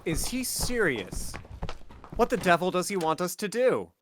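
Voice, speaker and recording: A man's voice: high voice, sing-song, measured pace, a bit bright, a little hiss or hum, heavily acted. A man playing a disgruntled, scornful theatre actor with a theatrical manner in an audio drama.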